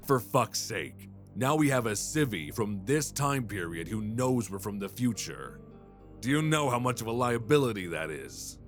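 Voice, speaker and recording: A man's voice: on the low side, animated, normal speed, soft background music, close to an everyday voice. A man playing an irritable, foul-mouthed time-travel agent in an audio drama, speaking bluntly and impatiently. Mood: irritated. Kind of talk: cinematic audio roleplay acting.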